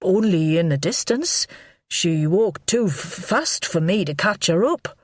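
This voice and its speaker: A woman's voice: fairly low pitch, expressive, unhurried, no room sound. A woman reading the part of a nervous, stammering middle-aged man in an audiobook. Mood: anxious. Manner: stammering, anxious. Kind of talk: audiobook character voice.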